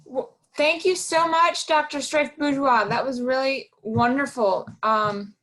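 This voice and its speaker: A woman's voice: high-pitched, fairly even intonation, unhurried, a bit bright, rough recording. A woman hosting an online talk, polite and appreciative in a chatty way. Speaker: host.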